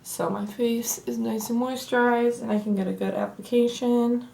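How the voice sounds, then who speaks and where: A young woman with a Southern accent, hoarse from allergies, chatting casually through a makeup tutorial. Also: fairly high pitch, moderate pace, faint music behind, poor audio. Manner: chatty and relaxed, says "like" often. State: hoarse from allergies, losing her voice. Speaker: beauty vlogger. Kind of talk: casual get-ready-with-me tutorial.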